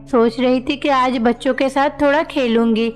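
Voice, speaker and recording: A woman voicing a gentle mother goddess who frets over her children in a devotional cartoon. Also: high-pitched, steady tone, normal speed, lightly acted. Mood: wistful. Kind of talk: animated devotional story dialogue. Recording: long reverb, music underneath.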